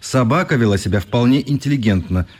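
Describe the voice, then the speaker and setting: A man's voice: mid-range voice, lively intonation, fairly quick, a little mellow, husky. An actor playing a politely defiant, ironic male newspaper correspondent in a radio play, speaking in courteous, articulate phrases. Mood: principled, ironic. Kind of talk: radio drama acting.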